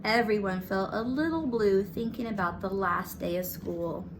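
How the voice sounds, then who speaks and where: A woman's voice: mid-range voice, moderate pace, noisy background. A woman reading a children's picture book aloud as a storyteller, narrating the scenes. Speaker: storybook reader.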